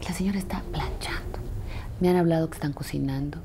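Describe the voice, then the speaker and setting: A middle-aged woman with a Mexican accent speaking reflectively in an interview, deliberate and expressive, pausing for emphasis. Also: low-pitched, background noise. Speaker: radio presenter. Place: furnished indoor sitting room. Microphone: lapel mic.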